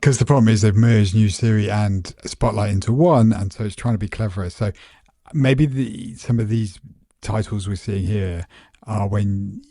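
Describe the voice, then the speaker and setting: A man speaks in a mellow, low voice with an easygoing, agreeable manner, chatting casually as a podcast co-host. The recording is close and dry. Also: normal speed, dry recording. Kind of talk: casual tech chat.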